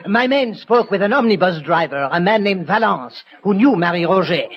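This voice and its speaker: A man playing a pompous, excitable, self-satisfied French police prefect in a 1960 radio mystery. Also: high voice, moderate pace. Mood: eager. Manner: pompous, triumphant, exclamatory. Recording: muffled, noisy old radio recording.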